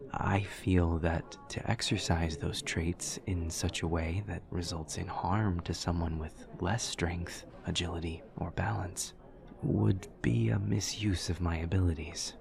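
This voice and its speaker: A very deep-voiced, awkward demon student played by a man speaks with a creaky rasp at the bottom of his range. He is formal and literal, choosing exact words in a soft, measured audio-roleplay delivery, earnest and utterly polite. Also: exaggerated voice. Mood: calm, matter-of-fact. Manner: stiff, precise, literal, measured formal diction. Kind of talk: audio roleplay, scripted monologue to a listener.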